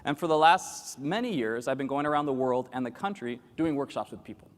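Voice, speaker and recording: A man in his forties giving a lively conference keynote, joking and quizzing the audience as he talks. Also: mid-range voice, fairly quick. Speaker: keynote speaker. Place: large conference hall with audience at tables. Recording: long reverb of a large hall.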